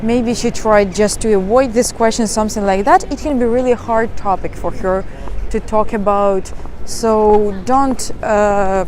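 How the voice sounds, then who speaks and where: Young woman, live advice talk, halting with fillers, mid-pitched voice. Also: steady tone, darkish tone, slight background noise. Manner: hesitant, many fillers and restarts. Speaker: dating advice presenter. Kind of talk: live talk answering audience questions.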